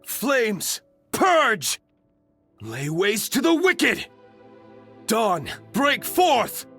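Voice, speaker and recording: An actor playing an aloof, brooding young nobleman warrior in a video game, reserved and formal, speaking in measured, curt lines. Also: very high-pitched, huge pitch swings, creaky, sharp tone, soft background music, heavily acted. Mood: fierce battle cries.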